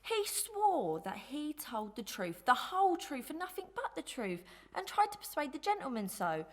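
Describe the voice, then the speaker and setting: A woman playing a lively, gossiping young servant in an audio melodrama, chatty and emphatic, pert but good-hearted. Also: sits high, moderate pace. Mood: emphatic, indignant.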